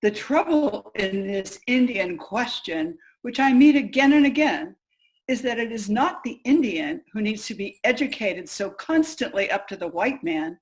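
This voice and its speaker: A woman's voice: a little high, poor audio. Woman acting a pointed, emphatic suffragist lawyer. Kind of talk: reader's theater monologue.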